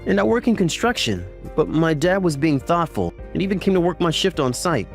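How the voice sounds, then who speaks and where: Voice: mid-range voice, very animated, a little fast. A performer voicing a caring, teasing young uncle in a romantic comedy manga story, mixing narration with dialogue in a mild manner. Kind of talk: anime-style recap narration and dialogue. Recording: music under the voice. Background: background music.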